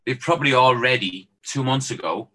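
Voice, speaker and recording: A man's voice: mid-range voice, normal speed, bright, forceful tone, rough recording. An Irish man, a former footballer, chatting casually and joking as a guest on a phone-in show. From Ireland. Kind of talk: casual chat.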